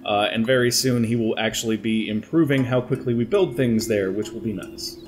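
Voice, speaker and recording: A man's voice: medium pitch, brisk, slight background noise. A young man playing a strategy game on a headset mic, slightly congested from allergies, talking through his moves aloud in a chatty way. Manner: chatty, thinking aloud while planning moves. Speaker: gaming commentator. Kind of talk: let's play strategy game commentary.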